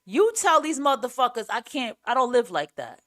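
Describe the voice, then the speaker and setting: A woman with a New York accent and a raspy, carrying voice, giving a casual, opinionated monologue in a blunt, emphatic way as a podcast host. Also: on the high side, big pitch swings, normal speed, fairly bright tone.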